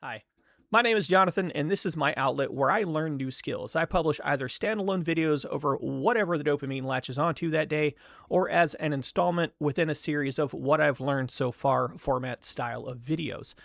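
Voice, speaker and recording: A young man chats to camera in a relaxed vlog, candid and self-deprecating, often circling back and restarting his thoughts. His voice sits a bit high with a gravelly edge. The sound is close and dry, with no room around it. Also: a little fast, no echo. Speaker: vlogger. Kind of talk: casual talking-head vlog.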